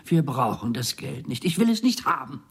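An actor playing a stubborn, devoted former undertaker in a radio drama, speaking in a halting, earnest way. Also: male voice, medium pitch, big pitch swings, a bit bright, breathy edge, heavily acted. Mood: anxious, pleading.

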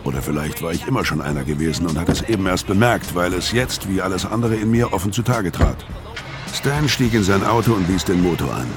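Deep-voiced, haunted ex-con narrator. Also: male voice, animated, brisk, music in the background. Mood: bleak, brooding. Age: 50s. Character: weary, haunted older male ex-convict telling his story. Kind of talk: first-person narration in radio crime drama.

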